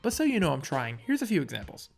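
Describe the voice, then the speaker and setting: Wry man, slightly high voice, faint creak. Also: huge pitch swings, fast talker, soft background music. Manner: wry, sarcastic asides. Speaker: video essayist, narrator. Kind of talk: casual scripted video essay commentary.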